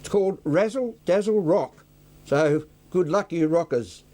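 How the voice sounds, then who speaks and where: A man's voice: a little high, animated, measured pace, dark tone. An Australian man presenting scripted community news as the anchor.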